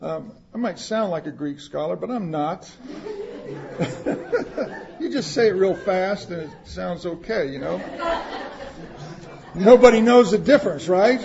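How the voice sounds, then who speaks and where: A man's voice: sits high, animated, unhurried, vocal fry, long pauses, dull audio, crowd noise. A man preaching a sermon, reading scripture aloud and explaining it in plain words, with asides to the congregation. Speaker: pastor.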